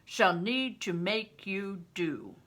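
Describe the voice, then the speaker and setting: An older woman voicing a big, blustering, roughly kind male travelling performer, emphatic, gruff and teasing, in a storybook reading. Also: medium pitch, lively intonation, takes it slowly, fairly bright tone. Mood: teasing, insistent.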